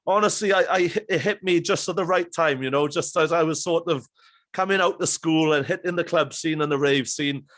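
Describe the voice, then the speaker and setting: Enthusiastic high-voiced male podcast host. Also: a little fast, fairly bright tone. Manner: enthusiastic, rambling with fillers. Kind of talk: casual interview chat.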